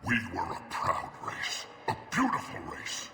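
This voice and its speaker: Bitter alien officer in a game, harsh gravelly voice, super intense, bit of noise behind. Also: male voice, a little slow, bright, forceful tone. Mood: vengeful anguish. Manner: intense, accusing, dramatic. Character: bitter, vengeful male alien batarian officer. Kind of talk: video game drama acting.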